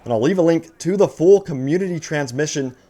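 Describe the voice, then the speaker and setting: A man's voice: on the high side, animated, brisk, mellow. A man hosting a gaming news video in an upbeat, enthusiastic fan commentary style, stretching words for emphasis and addressing his viewers directly.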